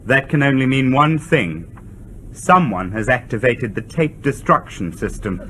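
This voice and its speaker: An actor playing a level-headed junior submarine officer in a radio drama, polite but direct and matter-of-fact. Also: male voice, medium pitch, expressive, unhurried, sharp tone, a little hiss or hum. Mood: blunt, serious. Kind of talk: British radio drama acting.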